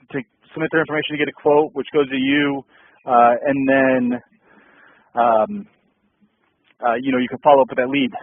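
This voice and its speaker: A man runs a business update call over a tinny phone line, his voice sitting a little high for a man. He talks casually, fills gaps with ums and uhs, and circles back to repeat points. The sound is dry and close. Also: dry recording. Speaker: host of a dealer update call.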